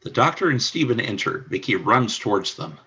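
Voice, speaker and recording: A man's voice: medium pitch, sing-song, normal speed, bright, forceful tone, slightly rough, dull audio. A man narrating the stage directions of a radio play in a plain, matter-of-fact way.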